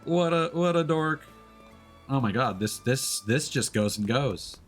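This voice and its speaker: A man's voice: mid-range voice, measured pace, faint music behind. A man giving playful, casual gameplay commentary as a streamer, sometimes reading game text aloud. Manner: playful, expressive.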